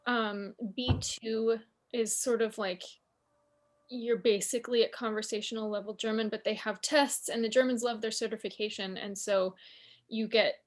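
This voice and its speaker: Woman panelist, earnest and a bit high. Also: steady tone, moderate pace. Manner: earnest, explanatory, emphatic advice. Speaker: panelist, opera singer. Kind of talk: casual panel discussion. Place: living in Germany.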